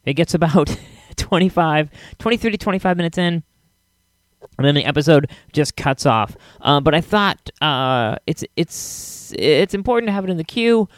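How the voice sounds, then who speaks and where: A man's voice: fairly high pitch, expressive, moderate pace, no echo, studio quality. A man hosting a podcast, introducing it in an informal, chatty way. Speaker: podcast host. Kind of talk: casual scripted-then-chatty podcast introduction.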